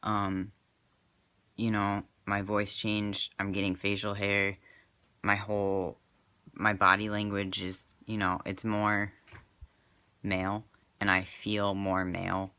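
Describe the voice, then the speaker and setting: Man, 20s, casual vlog; low, flat, crisp delivery, hesitant with lots of fillers. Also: slow, pauses often, no echo. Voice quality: slightly crackly. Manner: soft-spoken, hesitant, many fillers. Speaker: vlogger.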